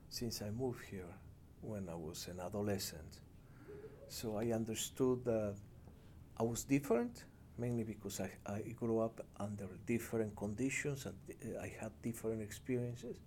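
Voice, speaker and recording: A middle-aged man from Ecuador with a Spanish accent, giving reflective interview answers in a measured, halting, earnest way. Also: medium pitch, darkish tone, a little hiss or hum. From Cuenca, Ecuador. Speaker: international development professional, interviewee.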